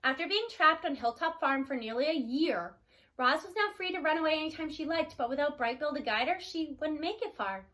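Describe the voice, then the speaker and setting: A woman's voice: high-pitched, lively intonation, fairly quick, bright, forceful tone. A woman narrating a children's storybook read-aloud.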